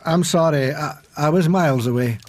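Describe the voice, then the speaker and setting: An actor playing a meek, henpecked schoolmaster husband in a period radio drama, hesitant and deferential. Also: male voice, on the high side, normal speed, background noise, lightly acted. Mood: distracted.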